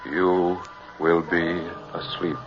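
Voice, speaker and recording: An actor playing a sinister, theatrical carnival hypnotist professor with grandiose, coaxing patter in an old radio drama. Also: male voice, low-pitched, flat delivery, slow. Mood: ominous, hypnotic. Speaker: stage hypnotist. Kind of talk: 1960s radio drama acting. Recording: muffled vintage radio, music behind.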